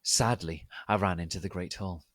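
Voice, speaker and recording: A man giving a theatrical, deliberate, mock-serious dramatic reading of fan fiction. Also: low voice, fairly bright tone, no room sound. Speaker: reader of online fan fiction.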